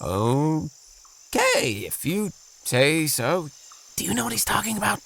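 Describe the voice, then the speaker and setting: A man's voice: fairly high pitch, big pitch swings, takes it slowly, vocal fry, fairly bright tone, a little hiss or hum, full character voice. A man playing a kindly, practical father in a kids' audio drama, reasonable and encouraging. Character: kindly, sensible, practical father of two kids.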